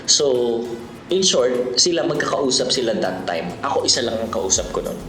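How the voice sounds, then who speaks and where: A man's voice: medium pitch, sing-song, moderate pace. A Filipino man narrating a motorcycle vlog in a casual, conversational, explanatory way, mixing Tagalog and English. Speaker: motorcycle vlogger. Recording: roomy echo, loud background music. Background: music.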